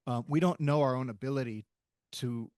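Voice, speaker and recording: A man's voice: medium pitch, darkish tone, clean tone, no room sound, clean studio sound. A man hosting a podcast, talking in a reflective, thoughtful way that halts and restarts as he searches for his words. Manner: thoughtful, halting, with many restarts. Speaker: podcast host. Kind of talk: reflective interview conversation.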